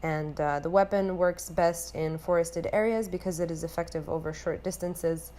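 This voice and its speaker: A young woman from Romania, an anthropology student, reading a class presentation in an earnest, measured way with frequent filler sounds. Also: fairly low pitch, steady tone, mellow.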